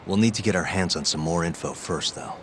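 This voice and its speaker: An actor playing a composed, confident lawyer turned private detective in a video game. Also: male voice, sits low, a little fast, fairly bright tone, slight background noise. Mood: focused. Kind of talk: English video game voice acting.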